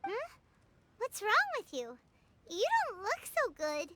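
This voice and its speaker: A woman voicing a small, excitable, cheeky fairy companion in a video game, with a squeaky childlike voice and bubbly exclamations. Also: slow pace, background noise, exaggerated voice. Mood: puzzled, alarmed. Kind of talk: video game character voice acting. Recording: background music throughout.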